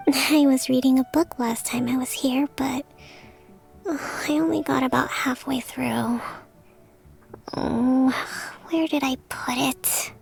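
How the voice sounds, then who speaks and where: An actress voicing a gentle young woman priestess and leader in a game, speaking softly in a weary, half-whispered way. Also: sits high, steady tone, takes it slowly, gravelly, exaggerated voice. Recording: game dialogue with background music.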